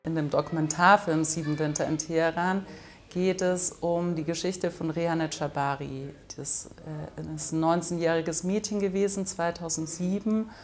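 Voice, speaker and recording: A middle-aged woman from Germany speaks in a slightly low voice. She answers interview questions as a filmmaker, thoughtful and hesitant at times. The sound is from a camera close by, indoors. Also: steady tone, moderate pace, soft background music. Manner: thoughtful, with frequent hesitation fillers. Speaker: film director. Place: indoors in front of an orange wall.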